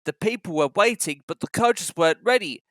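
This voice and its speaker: A narrator reading a children's story aloud in an expressive, scripted style. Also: medium pitch, moderate pace, no room sound, studio quality.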